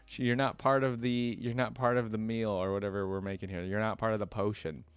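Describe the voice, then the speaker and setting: A man's voice: lowish voice, level tone, a little fast, clear, resonant tone, no echo. A man hosting a reaction video, commenting casually and informally, thinking aloud as he browses and reads.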